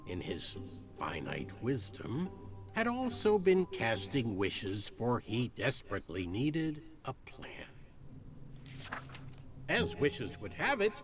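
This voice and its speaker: An actor playing a theatrical storybook narrator, telling a dark fable in a deliberate, dramatic way. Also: male voice, mid-range voice. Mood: wry, dramatic. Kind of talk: theatrical scripted fairy-tale narration.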